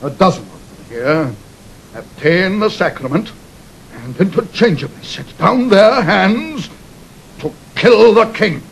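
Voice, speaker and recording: An actor playing a stern, loyal old English duke in a Shakespearean audio drama, with a British accent and declamatory verse delivery. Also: male voice, big pitch swings, takes it slowly, creaky, full character voice. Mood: outraged. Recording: rumbly, noisy vintage 1960s drama recording.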